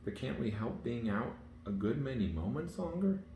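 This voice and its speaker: A man voicing a curious, chattering little princess girl in a storybook reading, pitching his voice up high. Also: sing-song, normal speed. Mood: puzzled. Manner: high, bright questioning chatter. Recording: echoey room, faint hiss.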